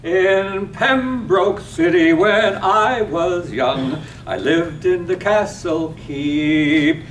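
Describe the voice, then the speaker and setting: A man's voice: high voice, drawn-out words. A man playing a drunken, merry pub drinker who declaims and bellows out song in a staged reading of a radio drama. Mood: drunken singing. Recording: roomy, distant mic, faint noise.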